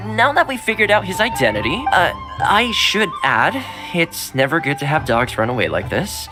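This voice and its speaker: Friendly young game character, patient n chatty, voice moves up and down lots, music under it. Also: male voice, fairly high pitch, very animated, moderate pace, low-quality recording. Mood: earnest. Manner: chatty, gentle coaxing. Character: friendly, patient young man, a house retainer. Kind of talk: game dialogue acting.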